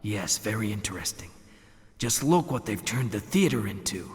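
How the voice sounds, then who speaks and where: Grim scornful theater guy from a game, lowish voice, big echoey hall. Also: expressive, moderate pace. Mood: intrigued. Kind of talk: theatrical scripted game dialogue. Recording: echoey hall with long reverb.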